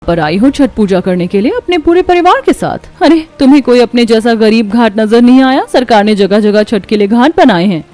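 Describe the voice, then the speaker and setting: A woman's voice: a little high, animated, brisk, few pauses, a little mellow, lightly acted. A woman voicing a haughty, spiteful rich young wife in a cartoon story, scornful and demanding. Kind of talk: Hindi cartoon moral story dialogue.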